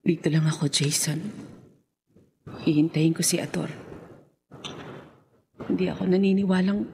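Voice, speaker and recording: An actress with a Filipino accent playing a grieving, loyal wife and older sister in an emotional radio horror drama, clinging to hope. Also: female voice, fairly low pitch, steady tone, measured pace, creaky, darkish tone, slight background noise. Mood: stubborn sorrow. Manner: melodramatic, emotional delivery.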